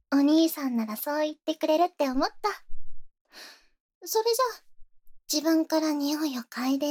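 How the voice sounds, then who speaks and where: A woman's voice: high voice, a little mellow. A woman playing a possessive, sweetly menacing young rich girl in a Japanese ASMR voice drama, with cutesy, coaxing phrasing and whispers. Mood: sweetly gloating.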